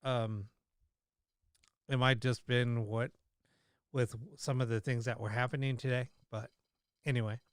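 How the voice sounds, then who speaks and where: A man hosting a paranormal livestream, chatting casually with many fillers and restarts, his voice slightly hoarse. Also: mid-range voice, little pitch movement, a little slow, long pauses, dry recording, clean studio sound. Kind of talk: casual live-stream chat.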